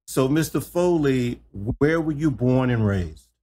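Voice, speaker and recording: Slow-talking exuberant male podcast host. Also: medium pitch, animated, warm tone. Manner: exuberant, folksy, repeats himself, says y'all. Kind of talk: enthusiastic interview chat.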